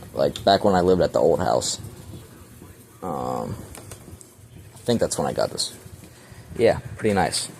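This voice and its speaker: A man's voice: extremely low pitch, gravelly, darkish tone, a little hiss or hum. A young man casually showing his radio collection in his bedroom, rambling with many ums, filmed point-of-view on a handheld camera. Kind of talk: casual show-and-tell.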